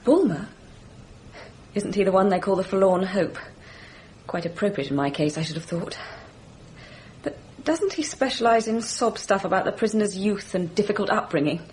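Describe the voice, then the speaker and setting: An actress playing a bitter, candid young English gentlewoman in a radio drama, frank and brooding in manner. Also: mid-range voice, normal speed, a little hiss or hum, natural voice, low-quality recording. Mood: dry, bitter.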